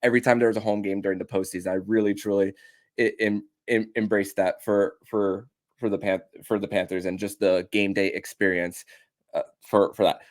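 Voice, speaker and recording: A man's voice: lowish voice, level tone, fairly quick. A man hosting a podcast who asks long, rambling questions full of restarts and repeated words. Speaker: podcast host. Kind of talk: casual podcast interview.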